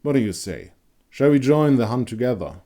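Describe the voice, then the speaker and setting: A man's voice: mid-range voice, lively intonation, a little fast, dark tone, pitched above the performer's own voice, close to an everyday voice. Man playing a bold, commanding monster hunter. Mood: rousing. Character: bold, persuasive, determined male monster hunter. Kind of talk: dramatic fantasy story reading.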